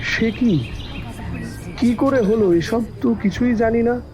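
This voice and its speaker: A man's voice: very high-pitched, slow pace, darkish tone, soft background music. A man playing a wary, proud householder in an audio drama, hesitant and flustered, easily put on the defensive. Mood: shocked. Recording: dull lo-fi sound, faint noise.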